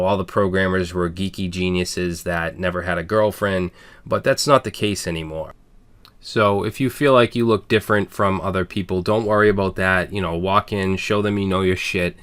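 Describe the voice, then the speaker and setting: A man's voice: low-pitched, a bit bright. A man in his thirties with a Boston accent, hosting a programming channel and talking candidly to viewers in a plain, earnest way with frequent fillers. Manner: earnest, plain-spoken, frequent "all right" and "um". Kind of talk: candid personal talk to viewers.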